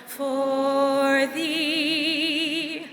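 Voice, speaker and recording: Middle-aged woman singing a slow, high anthem. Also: flat delivery, fairly bright tone, soft background music. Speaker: soloist singing the national anthem. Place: outdoor university plaza at a ceremony. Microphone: podium microphone. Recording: echoey outdoor PA with long reverb.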